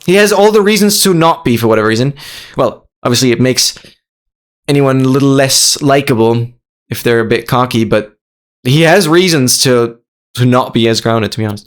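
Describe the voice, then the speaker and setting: A young adult streamer from Austria, a native German speaker with an Austrian accent, chatting excitedly with viewers on a live stream and repeating phrases. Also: medium pitch, normal speed.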